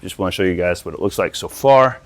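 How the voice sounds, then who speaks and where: A man's voice: sits low, quick pace, no echo. A man presenting his audio installation work in a casual, enthusiastic walkthrough, talking informally to viewers outdoors. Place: outdoors by a pool and patio.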